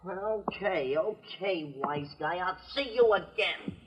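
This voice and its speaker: An actor playing a nervous but mouthy young American gang underling, whining and blustering in slang in a radio crime drama. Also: male voice, sits high, expressive, slow pace, dull audio, slight background noise. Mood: blustering, aggrieved.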